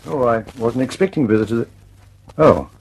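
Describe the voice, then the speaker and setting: An actor in an old radio drama playing an absent-minded, mild-mannered inventor who speaks politely and hesitantly. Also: male voice, medium pitch, moderate pace, husky, poor audio. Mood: mild, puzzled. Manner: hesitant, polite, mumbling fillers.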